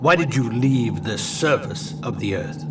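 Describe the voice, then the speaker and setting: A man playing a polite, skeptical gentleman traveler in a radio play, formal and measured. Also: mid-range voice, expressive, background noise, natural voice. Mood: dryly skeptical. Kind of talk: amateur radio play acting.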